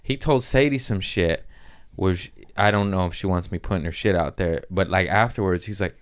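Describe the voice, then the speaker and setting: A man's voice: deep voice, moderate pace, smooth. A man hosting a casual comic podcast, telling rambling stories in slangy, profanity-heavy talk. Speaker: podcast host.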